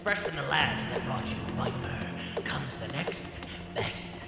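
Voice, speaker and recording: A man's voice: medium pitch, animated, slow, gravelly. A man playing a smug, theatrical drug-dealing gang boss in an audio drama, with a taunting, showman-like delivery. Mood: smug salesmanship. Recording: long reverb, loud music underneath. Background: loud music.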